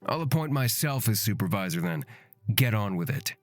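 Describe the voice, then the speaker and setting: An actor playing a smug, mocking rogue with knives in a fantasy visual novel, haughty and teasing. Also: male voice, lowish voice, expressive, normal speed, natural voice. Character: smug, mocking knife-carrying rogue man.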